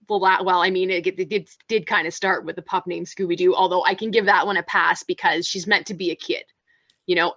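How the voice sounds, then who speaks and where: A chatty woman co-hosting a relaxed panel talk, with a low voice she considers masculine, often restarting her sentences. The sound is dry and close, a little muffled. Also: dry recording. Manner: chatty, many fillers and restarts. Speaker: podcast co-host. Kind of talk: casual panel discussion.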